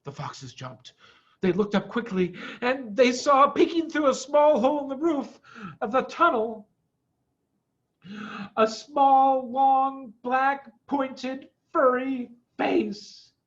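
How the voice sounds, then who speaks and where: A middle-aged man reading a children's storybook aloud in an expressive, emphatic way. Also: extremely high pitch, slow, dull audio.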